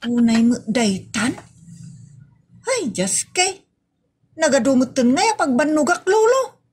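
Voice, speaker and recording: A woman's voice: high voice, very animated, slow pace, a little mellow, slight background noise, heavily acted. A woman playing a cheeky, lazy housemaid in a comedy audio drama, perky and exclamatory, with an Ilocano Filipino accent. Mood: playful.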